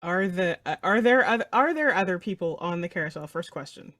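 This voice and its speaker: A woman takes part in an improvised tabletop game as a player. Her voice sits a little low and her talk is casual, with a dry, close sound. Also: animated, a little fast, a bit bright, dry recording.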